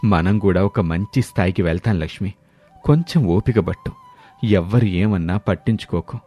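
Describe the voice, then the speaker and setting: A man playing a poor, hardworking husband, speaking earnestly and gently in a dramatized story. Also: low-pitched, normal speed, breathy edge.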